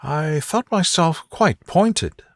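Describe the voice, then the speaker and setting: A man reads as a witty young gentlewoman in love, lifted in pitch for a soothing storybook. The delivery is soft and deliberate, with a playful, tender temper and lively melody. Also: no echo, studio quality, exaggerated voice. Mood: gently teasing. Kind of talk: soothing bedtime storybook dialogue.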